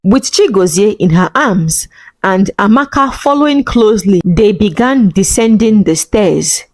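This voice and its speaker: Female narrator for dramatic scripted fiction, medium pitch, bone-dry studio-style sound. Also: expressive, measured pace, a little mellow, no room sound. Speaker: story narrator.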